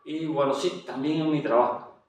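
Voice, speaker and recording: A man with a Cuban Spanish accent, heard from a little way off the mic in a very echoey room. He talks at a mid pitch, hesitating often and restarting his sentences as he shares his story. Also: steady tone, normal speed, darkish tone, far from the mic. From Cuba. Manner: hesitant, with frequent fillers and restarts. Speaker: interview guest, general doctor. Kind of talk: testimony interview.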